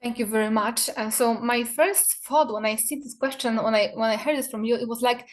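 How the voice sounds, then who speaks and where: A woman's voice: fairly high pitch, a little fast. A woman from Poland answering on an online panel, speaking with many fillers and restarts. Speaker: PR association board member. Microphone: video call.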